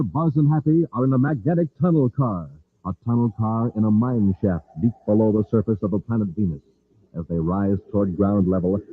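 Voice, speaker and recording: A male radio announcer with a dramatic, theatrical delivery in the style of a 1950s adventure serial. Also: mid-range voice. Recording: dull, noisy old radio transcription.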